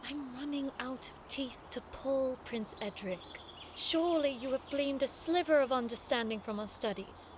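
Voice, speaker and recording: An actress plays a prim young noblewoman with a sharp tongue in an audio drama. Her voice sits high, formal and arch with a sarcastic edge, over faint background noise. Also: moderate pace, close to an everyday voice. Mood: exasperated.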